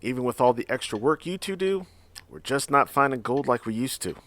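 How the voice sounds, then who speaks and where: Man playing a lively, jovial frontier mine boss. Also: mid-range voice, fairly quick, dry recording, close to an everyday voice. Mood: businesslike. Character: jovial, avuncular Old West mine boss. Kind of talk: audio drama acting.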